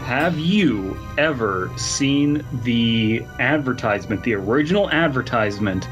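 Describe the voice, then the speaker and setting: A man co-hosting a podcast in casual comedic chat, telling stories with animated, mock-serious exaggeration. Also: mid-range voice, measured pace, music in the background, low-quality recording. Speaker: podcast co-host.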